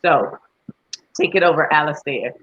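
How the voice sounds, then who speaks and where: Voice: mid-range voice, animated, moderate pace, fairly bright tone. A program host handing over to the students, upbeat and calling the audience "guys". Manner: upbeat, addresses the listeners as "guys". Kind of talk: casual host handover. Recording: dull lo-fi audio with faint noise.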